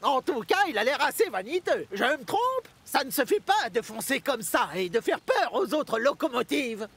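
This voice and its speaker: A woman voicing a mischievous young male tank engine, creaky and boyish, in a French-dubbed children's cartoon. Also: sits high, sing-song, normal speed, exaggerated voice. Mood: indignant. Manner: cheeky, conspiratorial, boyish.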